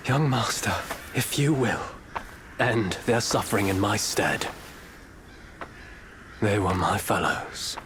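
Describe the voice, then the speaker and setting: An actor voicing a scheming male Taoist disciple in a video game, soft-spoken and sly, with whispery asides. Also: lowish voice, animated, slow, pauses often, noisy background. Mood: solemn. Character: scheming male Taoist disciple, secretly monstrous, courteous then hungry. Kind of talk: video game cutscene acting. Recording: game audio over ambience.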